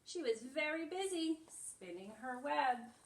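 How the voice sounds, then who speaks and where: A woman's voice: high-pitched, expressive, unhurried. A woman preschool teacher explaining simply and reading a story aloud for young children. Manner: simple, explanatory, demonstrative phrasing for small children. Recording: echoey room, distant microphone.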